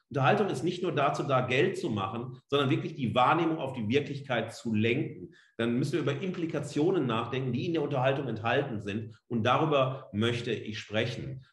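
A middle-aged man giving a free-spoken academic lecture as a professor, emphatic and rhetorical, repeating phrases and pausing for weight. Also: medium pitch. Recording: echoey room sound.

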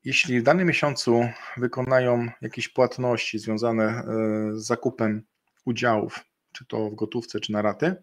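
A middle-aged man presenting a webinar over a video call, explaining a bonus program in a halting way with frequent fillers and restarts. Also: medium pitch, little pitch movement. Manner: halting, many fillers and restarts, slow deliberate pace in places. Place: indoor room, video call.

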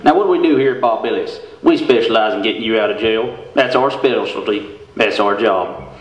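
A man playing a folksy, emphatic bail bondsman pitchman in a spoof radio commercial. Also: a little fast, natural voice. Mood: hucksterish. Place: theater stage with stand microphone. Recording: echoey stage hall.

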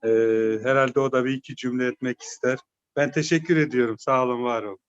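A man's voice: medium pitch, quick pace, no echo. A man giving brief informal remarks as an official in an online meeting. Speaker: education official. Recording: dull, dry online-call audio.

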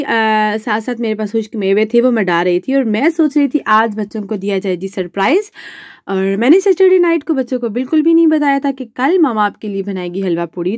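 Chatty woman with a warm voice. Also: on the high side, no echo. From Pakistan. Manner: chatty, polite with frequent "janab" and "ji". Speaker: cooking vlogger and mother. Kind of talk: casual cooking voice-over. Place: home.

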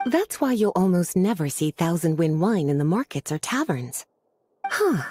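A woman playing a gentle, teasing young witch and teacher in a video game, soft-voiced and nurturing. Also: fairly low pitch, very animated, normal speed, a little hiss or hum. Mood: intrigued. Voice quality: velvety, soft. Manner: nurturing, coquettish, leisurely explanatory. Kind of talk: video game character acting.

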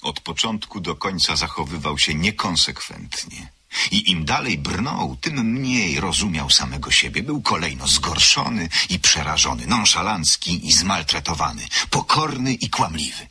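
A man narrating a radio play in a measured, ironic storytelling manner. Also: low voice, very animated, bright, forceful tone, breathy edge. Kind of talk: scripted radio drama narration.

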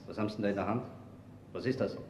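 An actor playing a pushy, sarcastic police detective in a crime radio play, with a Viennese accent and a hectoring manner. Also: male voice, fairly low pitch, racing, a little mellow, a little hiss or hum, close to an everyday voice. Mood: wary, probing. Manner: hectoring, sardonic. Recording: echoey reverberant cold-room scene.

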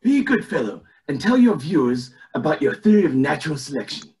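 Man acting out a pompous, animated old tycoon. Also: fairly high pitch, fairly quick. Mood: smarmy, oily. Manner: oily, pompous, theatrical. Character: scheming, smug elderly tycoon running for governor. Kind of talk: amateur table read of a cartoon script. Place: indoors at home on a video call.

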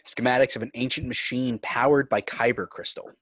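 A man serves as narrator of a screenplay. His voice is medium in pitch and recorded dry, reading scene directions as scripted narration. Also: fairly even intonation, brisk, a bit bright, no room sound, poor audio. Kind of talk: scripted narration at a table read. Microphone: video call.

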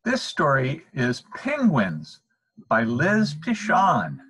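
A middle-aged man reads a children's story aloud in the open air. His pitch moves in a lively way and his voice has a husky edge. He speaks deliberately and expressively, as to young listeners. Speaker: storyteller reading a picture book aloud. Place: outdoors, in an open wooded park.

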